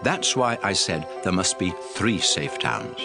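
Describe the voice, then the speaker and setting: Commanding male lawgiver: solemn, oratorical scripture drama, highly animated mid-range voice with music underneath. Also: measured pace. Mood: solemn instruction. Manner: emphatic, oratorical. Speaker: scripture narrator playing a prophet and lawgiver. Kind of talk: dramatized audio Bible reading.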